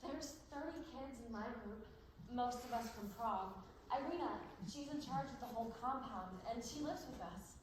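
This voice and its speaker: Echoey noisy far-off stage recording, young woman acting a gentle anxious teen girl prisoner, high voice. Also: expressive, normal speed, lightly acted. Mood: curious, friendly. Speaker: amateur stage actor. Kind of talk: one-act stage play acting.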